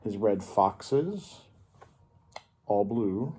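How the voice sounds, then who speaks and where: A man reading a picture book aloud to children as a storyteller, expressively and with dramatic pauses. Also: on the low side, lively intonation, mellow, husky.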